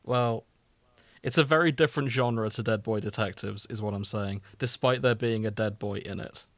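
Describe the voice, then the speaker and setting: A man's voice: lowish voice, normal speed, dry recording. A man chatting casually as a podcast co-host, informal and opinionated in a bantering way.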